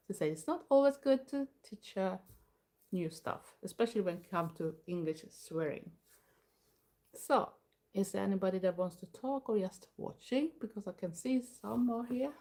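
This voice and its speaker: Relaxed Swedish-accented woman streamer, mellow. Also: mid-range voice. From Sweden. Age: older. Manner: relaxed, chatty, pauses often to read the chat. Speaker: live streamer. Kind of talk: casual solo live stream chat.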